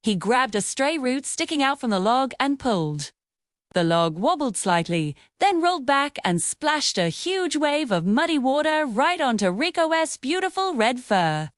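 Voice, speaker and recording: A woman reading a scripted children's story as an expressive, dramatic storyteller. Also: high-pitched, measured pace, no room sound, clean studio sound.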